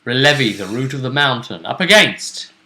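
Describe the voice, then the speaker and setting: A bright-voiced man talks through a tabletop game fight, wry and chatty. His voice rings in an echoey room. He sounds relaxed, joking as he goes. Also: medium pitch, moderate pace. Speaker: game master. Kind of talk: recapping a tabletop game session.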